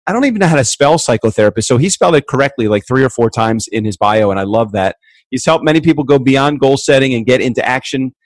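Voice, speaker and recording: Animado apresentador de podcast, som seco. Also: male voice, medium pitch, animated, fast, no echo. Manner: upbeat, chatty, with restarts. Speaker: podcast host. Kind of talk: casual podcast interviewing.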